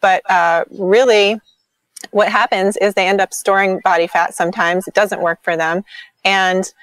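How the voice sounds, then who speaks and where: A woman's voice: mid-range voice, steady tone, normal speed, a bit bright, no echo. A woman in her forties, a podcast guest and sprint coach, talking in an enthusiastic casual way with frequent ums and likes over a video call. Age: 40s. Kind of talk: casual interview chat.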